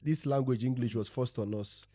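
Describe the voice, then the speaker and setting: A man's voice: mid-range voice, normal speed, mellow, dry recording, studio quality. A man from Nigeria, Igbo as his first language, with a Nigerian accent, talking bluntly in a casual podcast chat. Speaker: podcast co-host.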